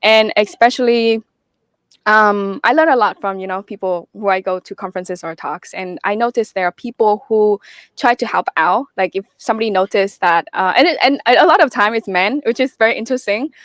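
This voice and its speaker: A woman's voice: mid-range voice, brisk, no echo. Earnest woman guest, dry sound. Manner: earnest, thinks aloud with many fillers. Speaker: guest, security researcher. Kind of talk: candid interview conversation.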